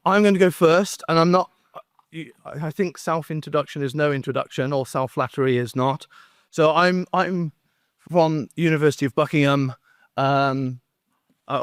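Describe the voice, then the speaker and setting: Guy doing a conference talk, dry sound, kinda halting, wry and self-deprecating, jokey asides. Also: fairly high pitch, no room sound. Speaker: conference speaker, academic. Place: conference room, speaking standing before an audience.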